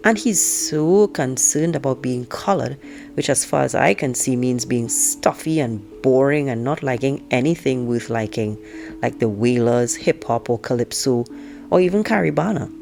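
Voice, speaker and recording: A woman reading a novel aloud like an audiobook narrator, expressively telling it as a teenage girl, with drawn-out emphasis. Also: a bass voice, expressive, vocal fry, mellow, soft background music. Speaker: teacher reading aloud to students.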